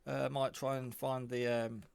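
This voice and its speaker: Bloke doing a casual gadget review, creaky voice, kinda rambling and low key. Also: male voice, flat delivery, a little slow, a little mellow, no echo. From England. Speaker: hobbyist reviewer. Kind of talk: casual off-the-cuff product demo.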